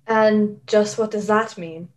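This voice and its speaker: A young woman playing a skeptical, cautious gentleman doctor in a radio play, with a dry, teasing manner. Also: medium pitch, steady tone, slow, close to an everyday voice. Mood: cautious, curious. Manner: dry, wry.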